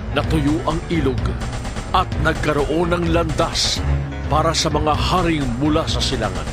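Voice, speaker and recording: High-voiced Filipino man narrating over loud music. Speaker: Bible narrator. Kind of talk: dramatic scripted narration.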